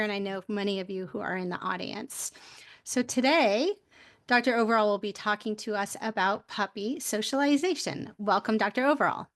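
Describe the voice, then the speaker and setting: A woman hosting a monthly webcast over a video call, reading a formal, welcoming introduction of her guest. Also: medium pitch. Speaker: webcast host. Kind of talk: scripted introduction.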